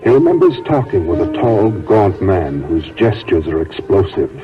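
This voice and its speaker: Male narrator, low voice, grave, dramatic, 1940s radio, thin phone-like sound, music behind. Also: animated, moderate pace. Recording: tinny narrow-band 1940s radio recording.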